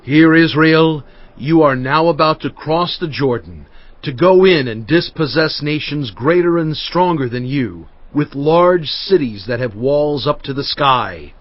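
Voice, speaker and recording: Solemn, animated biblical prophet. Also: male voice, fairly high pitch, unhurried, dry recording. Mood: stern, forceful. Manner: solemn, emphatic oratory. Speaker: dramatized audiobook reader. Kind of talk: dramatized scripture reading. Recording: dull audio with faint music bed. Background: faint background music.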